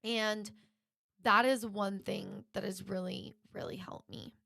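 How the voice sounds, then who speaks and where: Woman podcaster with creaky voice, dry sound. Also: medium pitch, steady tone, slow, sharp tone, dry recording. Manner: encouraging, emphatic repetition. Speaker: podcast host. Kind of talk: motivational self-help podcast monologue.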